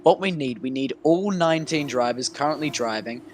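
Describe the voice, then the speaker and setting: A man's voice: medium pitch, lively intonation, moderate pace, slight background noise. A man co-commentating a sim race, playful and dramatic, often stammering as he starts a sentence.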